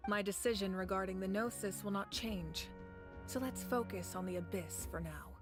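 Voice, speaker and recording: A woman voicing a dignified, dutiful leader in a video game, measured, formal and authoritative. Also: mid-range voice, music in the background, lightly acted. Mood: resolute. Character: composed, resolute female leader, dutiful and dignified.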